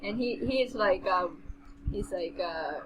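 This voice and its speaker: A woman's voice: mid-range voice, expressive, takes it slowly. Woman reading aloud, noisy recording. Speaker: co-host reading a novel aloud. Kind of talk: story reading with casual commentary. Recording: clearly noisy amateur home recording.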